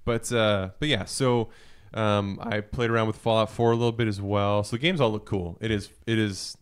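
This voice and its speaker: Smooth, low-voiced man chatting. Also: fairly even intonation, moderate pace. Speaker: podcast panelist discussing video games. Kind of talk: casual chat.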